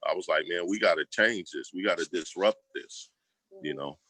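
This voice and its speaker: Male interview guest, low-pitched with a forceful edge, casual and slangy, very dry close sound. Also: normal speed, dry recording. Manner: colloquial, slangy, acts out quoted remarks. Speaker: school program leader, guest. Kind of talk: casual conversational storytelling.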